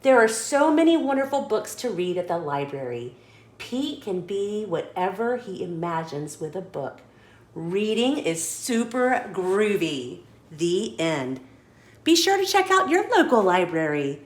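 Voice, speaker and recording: A woman reading a children's picture book aloud as a story host, in an expressive, deliberate storytelling manner. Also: mid-range voice, reverberant.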